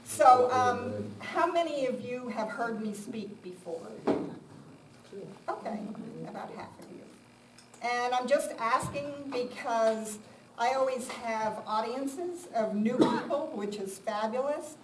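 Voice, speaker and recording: A woman's voice: a little high, slow. A woman giving an informal, conversational talk to a live audience, with a self-deprecating manner. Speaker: guest speaker. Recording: roomy, distant mic, loud background noise.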